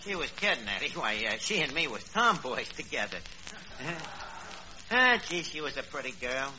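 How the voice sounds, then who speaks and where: An actor playing a cocky, blustering tavern manager with a New York accent, mangling big words with pompous confidence in a radio comedy. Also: male voice, a little high, big pitch swings, normal speed, sharp tone, exaggerated voice. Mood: defensive. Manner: malapropisms delivered with pompous confidence. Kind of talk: 1940s radio comedy acting. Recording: dull, noisy old radio recording.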